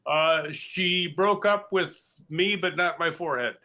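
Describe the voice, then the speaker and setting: A man playing an awkward, laconic game show contestant with deadpan, terse replies. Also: a little high, measured pace. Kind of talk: improvised comedy.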